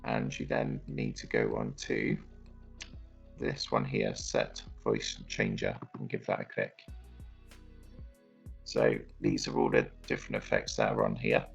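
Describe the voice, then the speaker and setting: Very deep mechanical robot. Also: male voice, steady tone, a little slow, creaky, faint music behind, full character voice. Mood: matter-of-fact. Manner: instructional, matter-of-fact. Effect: robot voice changer. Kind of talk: step-by-step tutorial narration.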